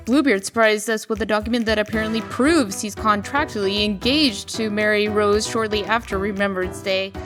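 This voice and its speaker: A woman's voice: medium pitch, natural voice. Woman acting a poised, formal civil servant. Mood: dry, wary. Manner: formal, precise, dryly skeptical. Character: poised, formal, businesslike female deputy to the mayor. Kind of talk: comic dub character acting.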